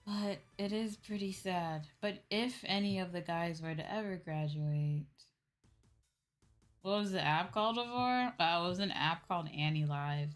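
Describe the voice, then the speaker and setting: Young woman doing a casual stream chat, kinda low voice, sore from talking, music in the back. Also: unhurried. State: sore, tired voice from talking all day. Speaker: VTuber streamer. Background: background music.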